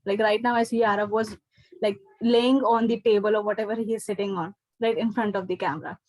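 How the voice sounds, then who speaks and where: A woman's voice: mid-range voice, fairly even intonation, brisk, a little mellow, dry recording, poor audio. A woman with an Indian accent, a teacher explaining things to a parent, often checking in with "okay" and "right?". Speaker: teacher giving feedback to a parent. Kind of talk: explanatory talk on a video call. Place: indoors, online class video call.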